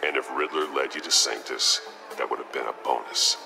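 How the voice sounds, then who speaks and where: Grim masked vigilante, extremely low growling voice, terse, righteous, dramatic game acting, music underneath. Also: male voice, a little fast, full character voice. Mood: cold, cutting. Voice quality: very deep, gravelly growl. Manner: terse, stern, confrontational.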